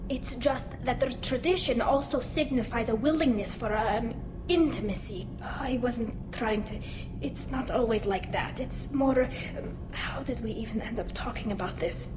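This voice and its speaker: An actress playing an earnest, self-conscious young masked alien woman in a sci-fi game, speaking haltingly with a lightly synthetic sound. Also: fairly high pitch, background noise, natural voice. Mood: flustered, shy. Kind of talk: cinematic sci-fi game acting. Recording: loud music behind, slight echo.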